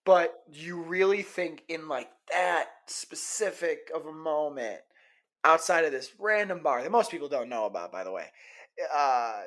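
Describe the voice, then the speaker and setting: A man's voice: on the high side, expressive, unhurried, a bit bright. A man playing a skeptical, teasing young college radio co-host in casual audio drama banter. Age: young adult.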